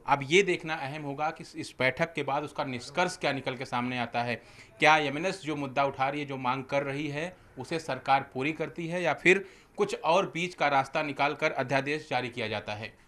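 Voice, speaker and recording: A man's voice: a little high, moderate pace, a bit bright. A man working as a TV news reporter, delivering a field report and interview questions in a formal reporting manner.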